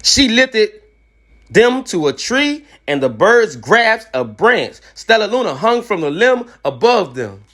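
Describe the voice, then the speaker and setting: Male story-time host: high, very animated delivery, casual and encouraging with children. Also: slow pace, echoey room, distant mic. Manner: informal, encouraging, repeated slangy check-ins. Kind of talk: animated read-aloud storytelling and casual chat to young viewers.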